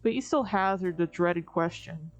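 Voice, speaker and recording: A woman giving scripted second-person narration of a horror story, measured and theatrical. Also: lowish voice. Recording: dull recording with background music.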